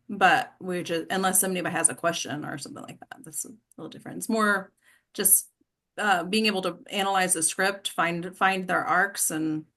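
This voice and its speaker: A woman with a very bright, forward voice leads an informal discussion. She thinks out loud, hesitating and reworking her sentences as she goes, in the easy style of a host among colleagues. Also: medium pitch. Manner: hesitant, self-correcting, informal. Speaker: host and director of a table-read discussion. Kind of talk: casual discussion, thinking aloud.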